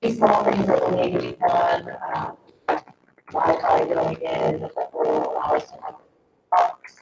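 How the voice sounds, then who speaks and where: A man's voice: very deep voice, expressive, unhurried, vocal fry. A man giving an informal staff update at a board meeting. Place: indoor town board meeting room. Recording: very noisy, echoey room, distant mic.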